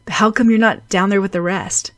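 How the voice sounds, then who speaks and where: A woman's voice: mid-range voice, steady tone, fairly quick, fairly bright tone, dry recording, clean studio sound, close to an everyday voice. Woman reading as a cocky, teasing teenage boy. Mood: smug, teasing. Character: cocky, playful teenage boy, proud showman. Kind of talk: audiobook character voice.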